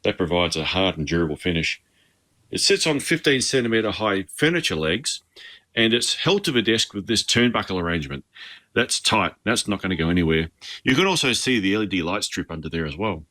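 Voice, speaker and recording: Aussie guy, really low voice but crisp and bright, explaining his hobby setup on an informal tour. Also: very animated, a little fast. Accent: Australian. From Australia. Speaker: amateur radio hobbyist. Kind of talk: informal instructional tour narration.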